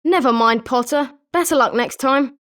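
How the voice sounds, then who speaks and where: A woman's voice: high-pitched, normal speed, fairly bright tone. A woman with a British accent voicing an eager, sporting schoolboy wizard with chirpy, emphatic delivery in a video game. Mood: consoling. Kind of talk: scripted video game character lines.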